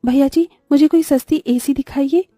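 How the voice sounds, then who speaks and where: An earnest poor village girl from a cartoon fable played by a woman. Her voice is high yet very mellow. She sounds plaintive and hopeful, recorded dry with music behind. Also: steady tone, moderate pace, dry recording, close to an everyday voice. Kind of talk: animated moral story dialogue.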